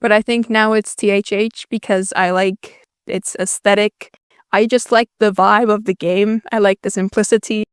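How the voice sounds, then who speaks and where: A woman's voice: medium pitch, fairly even intonation, normal speed, clear, resonant tone, no room sound. A young woman from Finland, a native Finnish speaker, answering viewer questions in a casual voice-over with dry, self-deprecating jokes. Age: young adult. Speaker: YouTuber.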